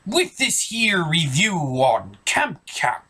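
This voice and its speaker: A man's voice: a little high, very animated, slow, pushed and bright, pitched above the performer's own voice, heavily acted. A man voicing a skeptical, nagging pirate puppet who drawls in pirate speak for a comedy puppet show.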